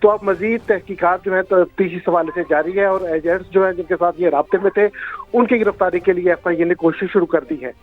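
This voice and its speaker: A Pakistani man reports live in a notably high-pitched voice. He speaks off the cuff as a news correspondent over a tinny, phone-like line, with faint music behind. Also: steady tone, a little fast.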